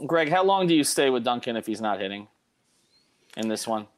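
A man hosting a casual sports discussion, thinking aloud with halting, repeated words as he puts questions to a panel. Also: medium pitch, expressive, slight background noise. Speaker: host of a sports podcast panel.

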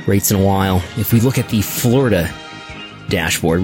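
An American man hosting a podcast, reading through news in a deliberate, announcer-like way. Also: deep voice. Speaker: podcast host.

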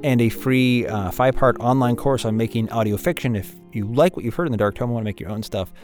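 A man's voice: medium pitch, fast talker, a little mellow, faint music behind. A man who hosts an audio drama podcast, presenting credits and announcements to listeners. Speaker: host and audio drama producer.

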